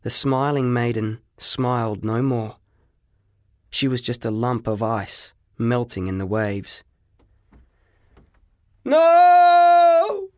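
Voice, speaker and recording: A man narrating a story in the first person as an audiobook reader, with expressive, dramatic, deliberate phrasing. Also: mid-range voice, no room sound, clean studio sound.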